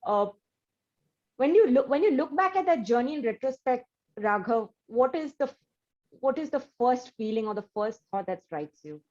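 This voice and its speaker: A woman's voice: on the high side, expressive, moderate pace, a little mellow, muffled recording. A woman hosting an interview, effusive and given to restarts and fillers. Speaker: interview host.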